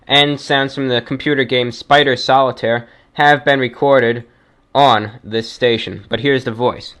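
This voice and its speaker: A man's voice: medium pitch, a bit bright. A man hosting an informal radio-style show, speaking like an announcer with emphatic delivery. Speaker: show host.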